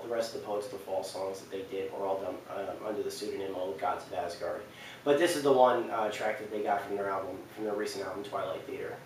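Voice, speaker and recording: A man giving enthusiastic, rambling, unscripted game commentary as host of a countdown, repeating himself for emphasis. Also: fairly low pitch. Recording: very echoey distant mic, faint noise.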